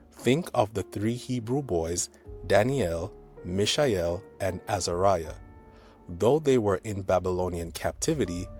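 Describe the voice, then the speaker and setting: Very deep-voiced man preaching over music. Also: a little mellow. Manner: earnest, admonishing. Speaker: religious teacher and video host. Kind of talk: scripted religious commentary.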